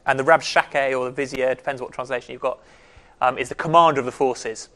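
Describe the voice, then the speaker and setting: A man leading a Bible study group, explaining in a relaxed, conversational way with frequent pauses and restarts. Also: mid-range voice. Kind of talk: casual teaching talk.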